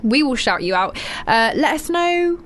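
A woman's voice: sits high, very animated, a little slow, a bit bright. Chatty British woman hosting radio. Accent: British English. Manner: giggly, chatty and self-deprecating. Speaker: radio show host. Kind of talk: casual radio chat. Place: radio studio. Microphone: studio headset mic.

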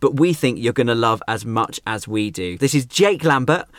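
A man's voice: medium pitch, expressive, normal speed, a bit bright, dry recording, clean studio sound. A man hosting a casual, chatty radio interview, playfully going along with his guests. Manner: jokey, plays along with guests. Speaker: radio host interviewing comedians.

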